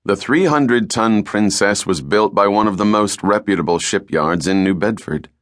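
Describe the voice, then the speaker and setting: Man, audiobook narrator, low voice, measured dramatic delivery, deliberate phrasing, very dry close sound. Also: a bit bright, no room sound. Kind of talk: scripted dramatic novel narration.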